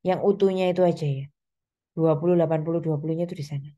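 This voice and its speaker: Mellow woman lecturer explaining step by step. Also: deep voice, steady tone, fast talker, muffled recording. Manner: instructional, step-by-step, with frequent reminders and check-in tags. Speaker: accounting lecturer. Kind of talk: explanatory online lecture, addressing students.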